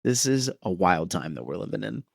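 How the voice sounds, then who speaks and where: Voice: deep voice, big pitch swings, a little fast, creaky, husky, dry recording, studio quality. A podcast co-host talking casually in a conversation about movies. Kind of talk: casual movie discussion chat.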